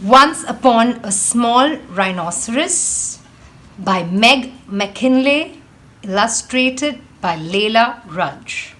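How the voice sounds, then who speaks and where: A woman reading a children's storybook aloud with expressive, deliberate, dramatic phrasing. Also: on the high side. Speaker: read-aloud host, storyteller.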